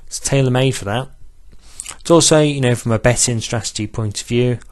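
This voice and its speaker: A man walking through betting software in a tutorial, speaking in a self-described monotone with frequent "uh" pauses. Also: medium pitch, no room sound. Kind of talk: screen-recorded tutorial walkthrough.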